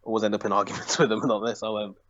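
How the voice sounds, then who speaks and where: A hesitant young man of about twenty chats about football as a podcast guest. He fills pauses with ums and small laughs, and his voice comes through slightly dull and lo-fi. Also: on the low side, flat delivery, fairly bright tone. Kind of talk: casual football chat.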